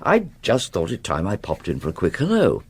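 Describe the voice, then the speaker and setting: A man's voice: deep voice, huge pitch swings, brisk, husky, full character voice. A man playing a reserved, courteous British academic with a dry, understated manner in a naturalistic radio drama. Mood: courteous, tentative. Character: reserved, courteous male Oxbridge-style don, dry observer.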